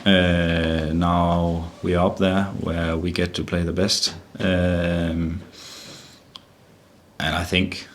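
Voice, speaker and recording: A man's voice: low voice, level tone, takes it slowly, a little hiss or hum. A middle-aged man with a Danish accent answers press conference questions as a football manager, often restarting and repeating words as he searches for the phrase. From Denmark.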